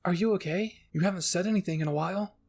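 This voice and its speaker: A man's voice: high voice, fast, a little mellow, pitched above the performer's own voice. A man voicing an exuberant, affectionate woman officer in an anime fan-fiction story. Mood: gushing, excited.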